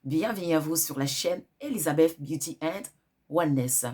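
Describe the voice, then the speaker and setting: A woman's voice: low voice, expressive, normal speed, darkish tone, breathy edge. A woman hosting a wellness channel with a cold, giving earnest spiritual advice and repeating her points for emphasis. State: has a cold.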